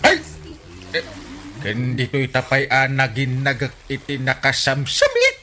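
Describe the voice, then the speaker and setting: A man's voice: mid-range voice, a little slow, heavily acted. A man with a Filipino accent playing a playful, sentimental village captain and husband in a radio comedy drama. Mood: nostalgic, playful. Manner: exclaiming, chuckling, excitable.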